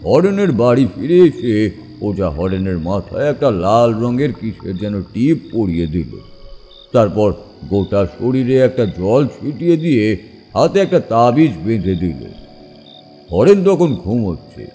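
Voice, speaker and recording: Slow, dramatic male horror narrator. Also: fairly low pitch, sing-song, dark tone, slight background noise. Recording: long reverb, music behind.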